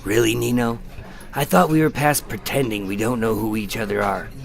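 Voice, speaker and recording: An actor playing a measured, dogged undercover federal agent in a radio drama, with an understated, gravelly delivery. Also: male voice, lowish voice, steady tone. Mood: probing. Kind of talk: radio drama acting and narration. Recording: music behind the voice.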